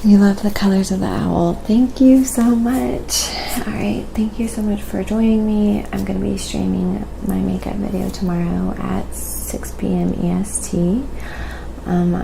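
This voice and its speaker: A soft-spoken woman chatting casually and unhurriedly on a livestream, narrating her ASMR painting and thanking viewers. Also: mid-range voice, level tone, moderate pace, creaky, noisy background. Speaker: live streamer, painting artist.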